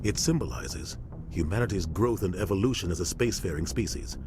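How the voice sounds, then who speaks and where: An actor playing a commanding middle-aged starship captain in a video game, with a measured, authoritative military delivery. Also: male voice, deep voice, background noise, natural voice. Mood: earnest, explaining. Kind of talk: video game dialogue acting.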